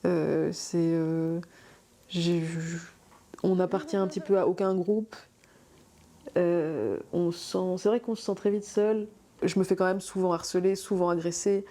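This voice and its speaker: A young woman giving a personal testimony, halting and thoughtful, with many fillers. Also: lowish voice, fairly even intonation, mellow. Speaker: interviewee.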